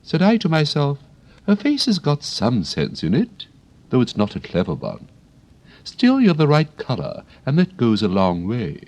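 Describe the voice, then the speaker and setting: A man's voice: mid-range voice, wildly swooping pitch, a little slow, darkish tone, voice pushed up, full character voice. A man reading a storybook and voicing a prim, condescending female rose flower in a haughty, severe manner.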